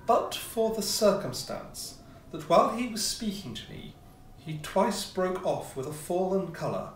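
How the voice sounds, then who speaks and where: A man reading a classic ghost story as scripted narration, with measured, theatrical phrasing and pauses. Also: sits high, big pitch swings. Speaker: audiobook reader, amateur player. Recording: roomy echo, distant mic, faint noise.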